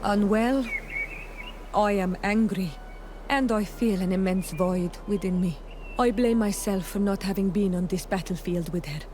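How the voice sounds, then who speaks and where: A woman's voice: medium pitch, unhurried, noisy background, close to an everyday voice. An actress playing a proud, fierce young native islander woman in a video game, speaking earnestly and solemnly. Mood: sorrowful. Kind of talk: video game dialogue acting. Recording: game dialogue under prominent music.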